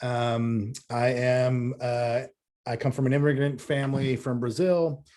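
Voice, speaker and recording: A man from Massachusetts on the US East Coast giving an informal introduction as a creative director, halting with hesitant pauses. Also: mid-range voice, little pitch movement, a little mellow. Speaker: company creative director presenting. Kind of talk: informal self-introduction presentation.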